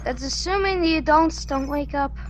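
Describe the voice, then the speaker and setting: Woman as high-voiced, blunt young space crew leader. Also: normal speed, slight background noise, close to an everyday voice. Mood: blunt, grim. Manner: clipped, blunt commands. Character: young colonist piloting, urgent, blunt and commanding. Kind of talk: science fiction radio play acting.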